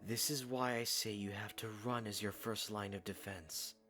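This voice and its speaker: A man's voice: on the low side, steady tone, moderate pace, pushed and bright, faint music behind. A man playing an earnest, protective young warrior in an audio drama, plain-spoken and given to short sentences. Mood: protective, serious.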